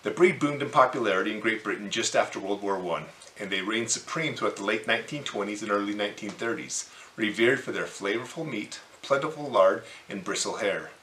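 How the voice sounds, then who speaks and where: A man in his forties hosting a farming video, reading scripted informational narration in measured phrases. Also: lowish voice, a bit bright, roomy sound, slight background noise, poor audio. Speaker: host of a hobby farming channel.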